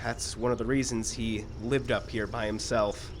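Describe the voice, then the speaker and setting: A man's voice: on the low side, level tone, normal speed, close to an everyday voice. Actor as Carl, morose guilt-ridden man. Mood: guilty, morose. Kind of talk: live audio drama acting. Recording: outdoor live stage, faint background noise.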